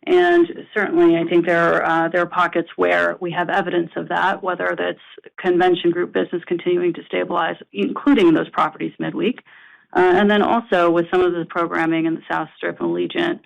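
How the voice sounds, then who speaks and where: Creaky-voiced male executive, dull call audio. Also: high-pitched, brisk. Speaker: company executive. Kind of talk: earnings call answer.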